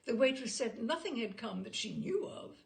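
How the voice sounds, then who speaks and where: Woman, expressive story reader, lively swooping pitch, creaky voice, theatrical emphasis. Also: moderate pace. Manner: animated, theatrical emphasis on key words. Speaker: storyteller and host reading short stories. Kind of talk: expressive literary story reading.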